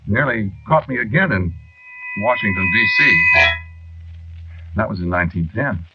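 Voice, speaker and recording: A man's voice: lowish voice, breathy edge, dry recording. An actor playing a weary, centuries-old henpecked man in a 1940s radio drama, confiding in a hesitant, wry way. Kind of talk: 1940s radio drama acting, first-person narration. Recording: dull, noisy 1940s radio recording.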